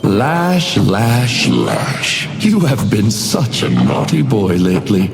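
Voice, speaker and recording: A man's voice: mid-range voice, very animated, slow pace, creaky, full character voice. A man voicing an uncanny, suavely menacing hotel doorman in a video game, theatrical and unctuously polite, with insinuating courtesy. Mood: teasing, insinuating. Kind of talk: scripted video game character lines. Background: music.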